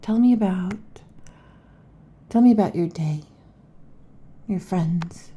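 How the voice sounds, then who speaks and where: Woman as a slow, husky, whispering lamia girlfriend. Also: on the low side, dark tone. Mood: agitated, pleading. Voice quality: breathy, husky half-whisper. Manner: halting, teasing, predatory intensity. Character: restless, possessive female lamia monster girlfriend next door. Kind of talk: ASMR roleplay, intimate close whispering.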